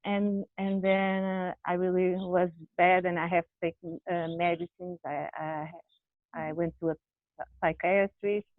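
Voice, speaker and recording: Woman guest, halting, restarts, dry tinny call audio. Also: lowish voice, flat delivery, dry recording. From Brazil. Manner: halting, searching for words, frequent restarts. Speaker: interview guest. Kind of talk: candid personal conversation.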